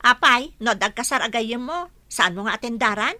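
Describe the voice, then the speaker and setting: Woman acting idle whiny daughter, high-pitched, very sharp tone, Ilocano accent, argumentative, radio comedy. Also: expressive, normal speed, dry recording. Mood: whiny, defiant. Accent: Ilocano Filipino. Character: lazy, cheeky jobless grown daughter.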